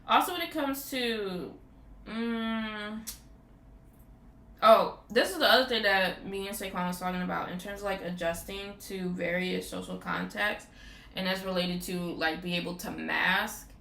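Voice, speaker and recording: A woman's voice: mid-range voice, measured pace, creaky, a bit bright. A woman hosting a casual podcast chat, rambling with lots of "like" fillers. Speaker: podcast host. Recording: roomy echo with faint background noise.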